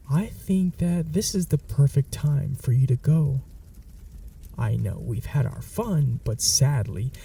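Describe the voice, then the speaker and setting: A man's voice: mid-range voice, vocal fry, warm tone, husky, distant mic, exaggerated voice. A young man voicing a smug, possessive male vampire in an ASMR roleplay, mocking and dropping into whispers. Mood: cold menacing.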